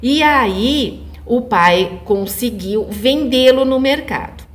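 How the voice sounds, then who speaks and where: A woman's voice: a little high, expressive, takes it slowly. A woman with a Brazilian Portuguese accent telling a fairy tale to children in an informal, conversational way, often checking in with her listeners. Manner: conversational, addresses listeners with frequent check-in tags. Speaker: children's storyteller.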